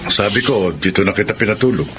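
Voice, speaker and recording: An actor playing a protective, concerned older brother in a Filipino radio drama, with a Filipino accent and calm manner. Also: male voice, lowish voice, fast, lightly acted. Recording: noisy ambient background.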